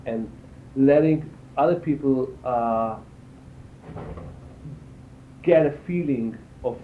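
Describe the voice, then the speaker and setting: Older man from Israel, medium pitch, halting reflective interview talk, tinny echoey sound, faint rumbly noise. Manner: halting, searching for words with repeated phrases. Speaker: interviewee, cello teacher and performer.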